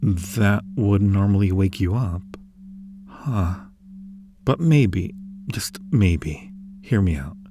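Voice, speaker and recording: Slow, low-voiced man playing a gentle otherworldly being. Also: a little hiss or hum. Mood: musing, curious. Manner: gentle, reassuring, unhurried, hesitant musing. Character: friendly male being from a glass universe, soothing, curious. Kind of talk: ASMR roleplay addressed to the listener.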